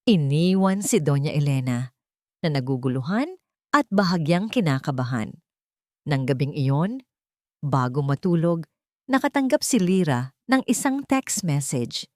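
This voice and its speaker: A woman narrates a dramatic story from a script. Her voice sits a little low. The recording is very dry, with no room sound. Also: sing-song, measured pace, mellow, no echo.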